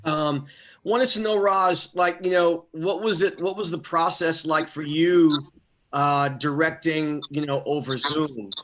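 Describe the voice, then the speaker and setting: Higher-voiced man posing a question. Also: unhurried, a bit bright. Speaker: audience member asking a question. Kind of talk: informal spoken question.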